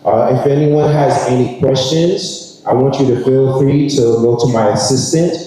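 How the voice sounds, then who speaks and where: A man with a New York accent giving a relaxed, conversational speech at a graduation ceremony and addressing the audience directly. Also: medium pitch, moderate pace. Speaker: guest speaker, recruiter. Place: large ceremony hall. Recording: echoey hall, distant mic.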